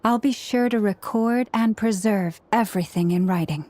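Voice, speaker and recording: An actress playing a polite, gentle, studious young female character in a video game, with courteous delivery. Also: mid-range voice, normal speed, slight background noise, close to an everyday voice. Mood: reassuring.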